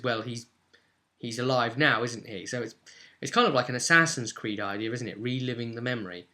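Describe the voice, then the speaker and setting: A man's voice: medium pitch, sharp tone. A man working as a game master, telling an informal, off-the-cuff recap of a roleplay story with explanatory asides.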